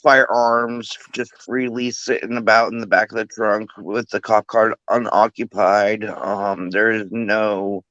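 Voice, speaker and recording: A man, a panel guest, explaining calmly with fillers and restarts over a remote call. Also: fairly low pitch, a little slow, hardly any pauses, no room sound, highs cut off. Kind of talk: casual panel talk.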